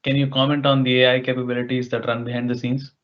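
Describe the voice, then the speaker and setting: Guy hosting a webinar q&a, average pitch, polite careful questions, bit of a muffled lo-fi sound. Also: little pitch movement, quick pace. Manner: polite, tentative phrasing of questions. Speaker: webinar moderator relaying questions. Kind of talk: moderated Q&A. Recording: dull, lo-fi online call audio.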